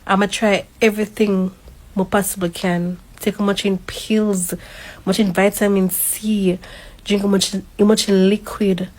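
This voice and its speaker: A woman's voice: medium pitch, little pitch movement, unhurried, darkish tone, rough recording. A Jamaican woman in her late twenties, sick with the flu, complaining in exasperated disbelief. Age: late 20s. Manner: exasperated, incredulous. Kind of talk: casual complaint to camera.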